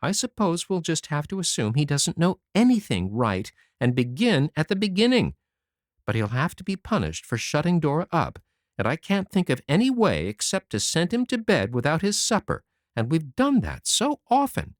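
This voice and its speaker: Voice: medium pitch, wildly swooping pitch, no room sound, clean studio sound, pitched above the performer's own voice. A reader doing a stern, practical older woman. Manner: measured, practical, matter-of-fact.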